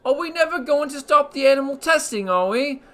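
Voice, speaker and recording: Comic game show host, voiced by a man: very high, bright, blustering rambler. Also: expressive, unhurried, clean tone, full character voice. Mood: rambling. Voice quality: high squeaky put-on voice with slangy blustering delivery. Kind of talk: comedic character monologue on a podcast. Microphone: podcast mic.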